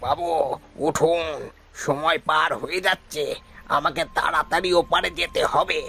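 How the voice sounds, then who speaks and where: A man playing a gravelly night boatman. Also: fairly high pitch, fairly even intonation, unhurried, sharp tone, soft background music, full character voice. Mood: urging. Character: mysterious deferential boatman of the night river.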